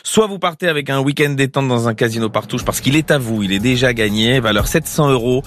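A man's voice: medium pitch, fairly quick, faint music behind. A man hosting a radio quiz game, teasing the contestant and stretching out the questions for suspense. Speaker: quiz show host.

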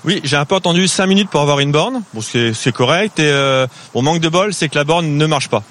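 A man's voice: on the high side, animated, brisk, a bit bright. A man answering a roadside vox pop in a casual, easygoing, matter-of-fact way. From Oise, France. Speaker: interviewed holiday driver. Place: roadside charging station. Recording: light background noise on location.

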